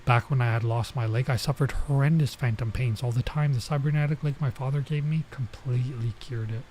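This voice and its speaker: A male game streamer reads a visual novel aloud at a middling pitch. He slips between narration and offhand comments, with clear noise behind his voice. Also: fairly even intonation, brisk, darkish tone. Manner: stops to comment and translate Japanese phrases. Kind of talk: let's play read-aloud with casual asides.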